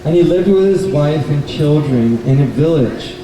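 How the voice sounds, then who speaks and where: A man's voice: on the high side, animated, moderate pace, warm tone. A man telling a story live to children at a puppet show, in short, simple explanatory phrases. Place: public event in a bookstore, mic at a distance. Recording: echoey, distant, noisy live event recording.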